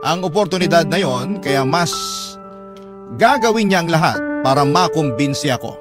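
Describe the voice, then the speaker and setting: A man's voice: a little high, expressive, normal speed. A man with a Filipino accent narrating a story in dramatic radio storytelling style. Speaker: narrator reading a letter sender's story. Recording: loud music under the voice. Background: loud background music.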